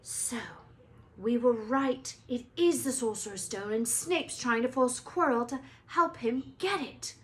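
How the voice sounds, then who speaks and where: Lady doing an earnest kid hero from a storybook, bit higher, breathless and urgent. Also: a little slow, lightly acted.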